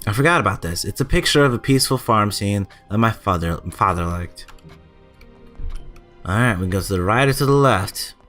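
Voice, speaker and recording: Male gaming host, lower-pitched voice, informal and chatty, music underneath. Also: lively intonation, normal speed, a bit bright. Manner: informal, chatty, stumbles when reading aloud. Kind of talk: casual let's play commentary, reading game text aloud.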